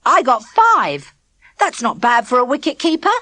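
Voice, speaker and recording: A woman's voice: sits high, huge pitch swings, moderate pace, sharp tone, no room sound, pitched above the performer's own voice, exaggerated voice. A woman voicing an earnest schoolboy wicket-keeper who talks defensively.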